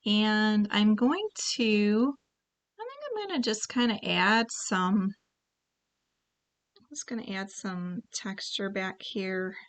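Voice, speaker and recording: A woman leading a relaxed paint-along tutorial, chatting and thinking aloud as she paints, and now and then clearing her throat. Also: mid-range voice, slow pace. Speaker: painting instructor.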